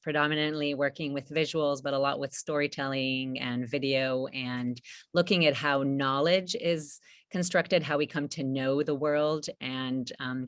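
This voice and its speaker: A woman co-hosting a scholarly panel, introducing and explaining in a halting, searching way. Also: a bass voice, no room sound. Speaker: artist co-hosting a panel event.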